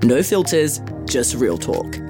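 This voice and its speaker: A woman's voice: rumbling low, sing-song, takes it slowly, music in the background. A woman hosting a podcast, reading an upbeat intro and then chatting enthusiastically with her guest. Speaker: podcast host. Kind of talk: scripted intro then interview chat.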